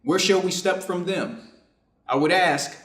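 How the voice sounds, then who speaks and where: A man reading the part of a middle-aged Japanese surgeon in a staged story, reflective and clinical, with formal, deliberate phrasing. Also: high-pitched, a bit bright. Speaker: reader's theater performer. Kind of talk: staged reading of a short story, measured delivery. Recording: echoey room.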